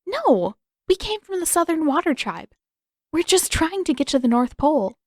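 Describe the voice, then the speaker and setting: A high-pitched, indignant teenage girl in an audiobook, acted by a woman. She protests in urgent questions, frightened but defiant, in a close, dry recording. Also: big pitch swings, moderate pace, a little mellow, dry recording. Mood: incredulous, indignant.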